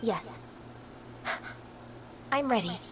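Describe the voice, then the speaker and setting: A woman voicing a gentle, dutiful young half-human woman in a video game, polite and earnest. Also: a little high, expressive, very slow. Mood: apologetic, hesitant. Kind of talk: video game character acting.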